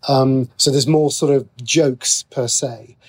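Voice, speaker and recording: A man's voice: mid-range voice, steady tone, takes it slowly, breathy edge. A man with a British accent talking as a guest writer in a conversational interview, explaining things in a chatty way.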